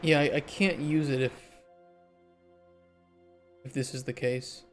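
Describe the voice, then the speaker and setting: A man who develops indie games, chatting casually on a livestream and thinking aloud as he answers chat, his voice hoarse after hours of streaming. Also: medium pitch, fairly even intonation, slow pace, soft background music.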